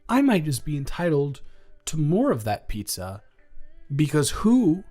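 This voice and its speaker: A man playing an awkward, affectionate high school boy in a romantic audio roleplay, speaking in a rambling, stammering way. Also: huge pitch swings, dark tone, soft background music, full character voice. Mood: playful, indignant. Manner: halting, rambling, stammering, self-deprecating.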